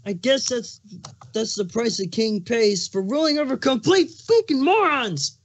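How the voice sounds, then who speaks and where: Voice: medium pitch, animated, unhurried. A performer voicing a hormonal, foul-mouthed male changeling king who is pregnant, in an exasperated, emphatic cartoon fan fiction reading. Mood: furious ranting. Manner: ranting, exasperated, emphatic swearing.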